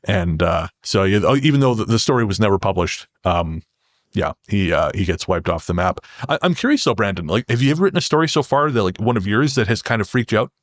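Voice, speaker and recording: A man chatting as a podcast guest about his writing, rephrasing mid-sentence in an earnest, halting way. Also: lowish voice, animated, no echo, clean studio sound. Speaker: podcast guest, horror fiction writer. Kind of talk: casual podcast conversation, storytelling.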